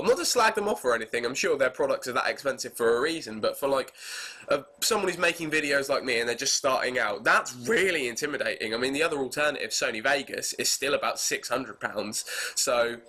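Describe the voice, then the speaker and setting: A British man speaks into a webcam while he has a cold, so his voice comes out stuffy and hoarse. His tone stays bright and slightly sharp. He rambles in a casual, self-deprecating way and throws in the odd crude joke. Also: medium pitch. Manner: chatty, crude, self-mocking. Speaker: vlogger. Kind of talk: rambling casual monologue to camera.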